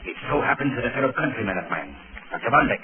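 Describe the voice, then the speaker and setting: An actor playing a cold, scheming foreign villain in a 1930s radio drama, with a menacing, theatrical, drawn-out delivery. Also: male voice, mid-range voice, lively intonation, crowd noise, lightly acted. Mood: smugly confident. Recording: tinny 1930s radio recording with music.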